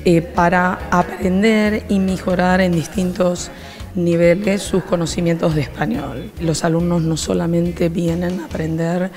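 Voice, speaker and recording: A middle-aged woman with an Argentine accent, a program coordinator explaining the course in an interview, measured and pausing on "eh". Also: lowish voice, fairly even intonation, a little mellow, faint music behind. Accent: Argentine Spanish. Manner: measured, with frequent "eh" fillers. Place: classroom with students behind. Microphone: handheld reporter mic.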